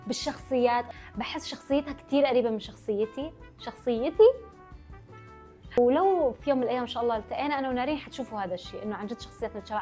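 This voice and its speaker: A woman's voice: fairly high pitch, a little mellow. A young adult woman with a Levantine Arabic accent, chatting casually to her followers as a vlogger and running her words together. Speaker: vlogger answering followers' questions.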